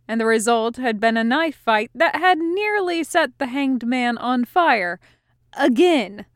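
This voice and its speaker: Smooth, unhurried woman narrator. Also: a little high, no echo, clean studio sound. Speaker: fan fiction audiobook reader. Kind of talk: scripted story narration.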